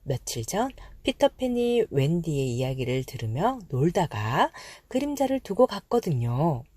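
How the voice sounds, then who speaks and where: A woman narrating a children's storybook from a script, with expressive, dramatized phrasing for young listeners. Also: sits low, measured pace, husky, no echo.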